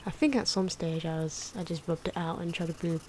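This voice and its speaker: A woman talks softly in a lowish voice, sometimes slipping into a whispery tone. She muses and corrects herself in an unscripted ASMR drawing commentary. Faint ticking and pen scratching sit behind her. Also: fairly even intonation, brisk, a little hiss or hum. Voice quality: soft-spoken, at times whispery. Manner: unscripted, musing, self-correcting. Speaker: ASMR artist narrating own drawing. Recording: clock and pen noises behind. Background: ticking clock, pen scratching.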